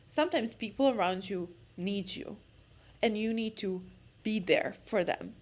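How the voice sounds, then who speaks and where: A woman's voice: mid-range voice, unhurried. A young woman with a Serbian accent, vlogging to camera in a blunt, impassioned monologue about friendship. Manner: impassioned, blunt, repeats words when emphatic. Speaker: lifestyle vlogger.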